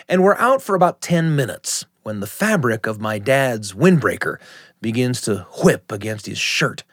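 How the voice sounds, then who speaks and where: A middle-aged man hosting and telling stories in an expressive, deliberate way with dramatic phrasing. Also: medium pitch, no room sound, clean studio sound. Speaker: host. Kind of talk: warm conversational radio storytelling. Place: radio studio.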